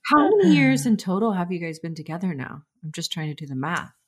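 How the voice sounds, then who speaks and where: A woman with a notably low voice hosts an interview podcast. She answers her guest with short affirmations and talks openly and easily about her own life. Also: very animated, fast talker. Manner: affirming, breathy exclamations, laughs easily. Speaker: podcast host. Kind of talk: warm conversational interview.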